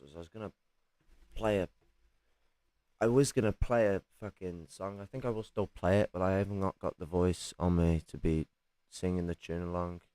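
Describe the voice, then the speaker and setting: A raspy man, chatting casually on a livestream with lots of restarts and casual swearing. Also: low voice, darkish tone, no echo. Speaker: streamer.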